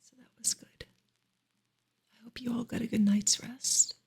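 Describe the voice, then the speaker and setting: Slow, breathy whispering woman, ASMR. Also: fairly high pitch, steady tone. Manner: soft, unhurried, gentle, halting with long pauses. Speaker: ASMR livestream host. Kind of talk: ASMR, whispered casual chat to viewers.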